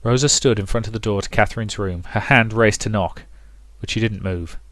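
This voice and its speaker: A man with a fairly low voice narrates a visual novel aloud in a storybook style. The recording is dry and close, with little room sound. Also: brisk, a bit bright, no echo. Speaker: let's player reading a visual novel aloud.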